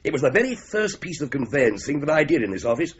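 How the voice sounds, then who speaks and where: An actor playing a genial solicitor with a jovial, ironic, rambling way of talking, in a radio drama. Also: male voice, a little high, big pitch swings, quick pace, breathy edge. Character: genial, wry male solicitor. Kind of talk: 1970s radio drama acting. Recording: slightly dull broadcast recording.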